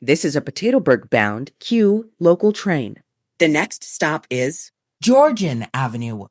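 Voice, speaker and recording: A woman reading scripted automated train announcements in measured, formulaic phrases. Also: deep voice, very animated, darkish tone, no echo, studio quality. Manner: formulaic, measured delivery of short set phrases.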